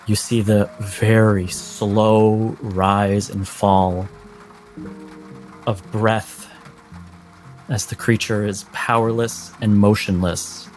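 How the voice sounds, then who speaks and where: A man narrating a tabletop game as game master, in a deliberate, suspenseful way with pauses. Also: on the low side, little pitch movement, darkish tone, soft background music.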